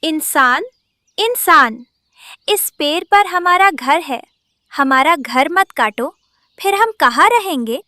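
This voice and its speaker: A woman's voice: sits high, lively intonation, slow pace, pushed and bright, no echo, clean studio sound, full character voice. A woman voicing a scheming, sneering female crow in a cartoon story. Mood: alarmed.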